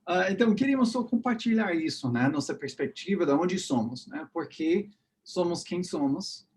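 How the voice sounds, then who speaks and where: Animated man, teacher. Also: high voice, moderate pace. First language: English. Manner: animated, repeats "né?" and "tá?" often. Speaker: English teacher and podcast host. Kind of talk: casual podcast chat.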